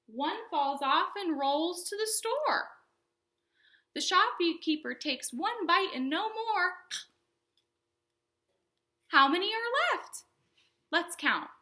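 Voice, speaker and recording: A woman hosting a storytime for young children, speaking in simple explanatory phrases with an animated, sing-song delivery. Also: sits high. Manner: animated, exaggerated questions and exclamations for young children. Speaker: children's librarian and storytime host.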